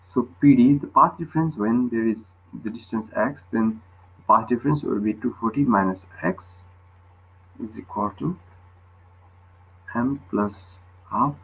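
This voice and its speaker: A man's voice: mid-range voice, unhurried, gravelly, slightly rough, rough recording. A man tutoring physics, explaining a worked problem step by step and often checking with short questions.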